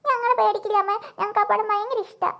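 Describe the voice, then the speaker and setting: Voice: very high voice, steady tone, quick pace, creaky, a bit bright, full character voice. A performer voicing a whiny, demanding small child in a comic family cartoon, pleading with melodrama. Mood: wronged, pleading.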